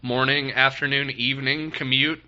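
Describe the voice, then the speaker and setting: A man's voice: mid-range voice, level tone, moderate pace, pushed and bright. A man co-hosting a casual podcast, rambling and self-deprecating, with lots of ums. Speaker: podcast co-host. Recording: muffled lo-fi podcast audio.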